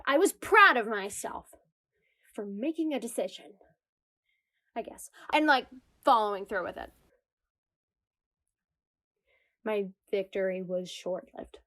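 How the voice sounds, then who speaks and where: A woman's voice: a little high, fairly bright tone. An actress playing an anxious, self-deprecating woman in existential crisis, narrating a confessional radio comedy monologue with wry, dramatic delivery. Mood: proud, emphatic.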